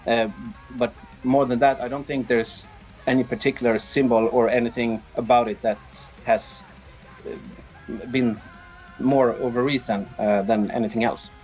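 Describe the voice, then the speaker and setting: A man from Sweden giving casual, halting interview answers as a band's drummer. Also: medium pitch, steady tone, darkish tone, faint music behind, rough recording. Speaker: band drummer being interviewed.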